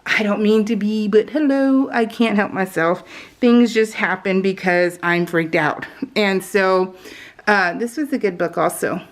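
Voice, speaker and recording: A woman's voice: mid-range voice, unhurried. A woman chatting casually while crafting on her vlog, rambling off on tangents in a jokey, self-deprecating way. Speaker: craft vlogger.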